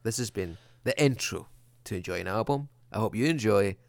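A man with a low, gravelly voice banters loosely as a podcast co-host, rambling and teasing. The sound is dry and close, with no room around it. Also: expressive, a little fast, dry recording. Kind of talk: casual chat.

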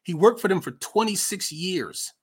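A man's voice: high-pitched, expressive, moderate pace, slightly rough. Opinionated American man, casual commentary. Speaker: podcast host.

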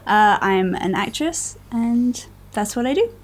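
A woman's voice: fairly high pitch, lively intonation, unhurried. A young woman from England with an English accent, co-hosting a podcast in cheerful casual chat and laughing often. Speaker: podcast co-host.

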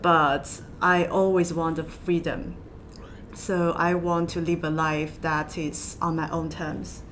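A woman from southwest China with a Chinese accent, answering interview questions in halting, hesitant phrases. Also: little pitch movement, fairly bright tone. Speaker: podcast guest, accountant. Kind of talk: interview conversation. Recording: low rumble and background noise.

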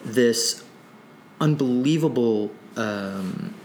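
A man's voice: mid-range voice, very slow. Chatty man with a faint noisy backdrop. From Nova Scotia, Canada. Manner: rambling, many restarts, animated when excited. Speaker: author guest. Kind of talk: conversational interview.

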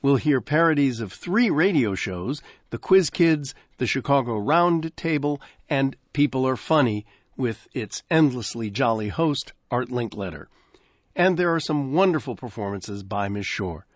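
A man gives a measured, informative introduction to a vintage broadcast. His voice is mid-pitched and recorded close and dry, with no room sound. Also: lively intonation, dry recording. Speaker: host of a classic radio program.

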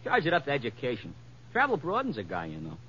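Breezy big-city cabbie, bright and very animated, teasing, on dull vintage radio. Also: male voice, medium pitch, fast talker. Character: cocky, wisecracking city cab driver. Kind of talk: 1950s radio drama acting. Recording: dull, slightly noisy vintage radio recording.

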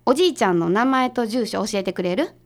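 A woman playing a bossy, prickly detective's assistant in a radio drama, brisk and huffy, with a Kansai accent. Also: mid-range voice, lively intonation, lightly acted. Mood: grudgingly confident.

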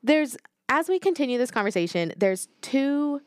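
A woman co-hosting a podcast in casual chat, animated and reflective, thinking aloud with fillers. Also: high-pitched, normal speed, no room sound, clean studio sound. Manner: animated, reflective, talks things through with fillers. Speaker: podcast co-host.

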